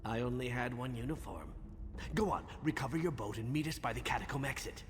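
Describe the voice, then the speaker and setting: Echoing, animated guild rogue played by a man. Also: medium pitch, brisk, a little hiss or hum, close to an everyday voice. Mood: calm urgency. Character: cool, assured male thieves' guild rescuer. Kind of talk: video game voice acting. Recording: long echoing hall reverb.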